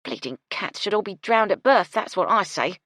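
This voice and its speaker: Loud, blunt kitchen maid, a woman doing her, scolding, swinging pitch. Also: lively intonation, moderate pace, dry recording, clean studio sound. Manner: blunt, scolding, swears freely. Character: brash bossy young kitchen maid.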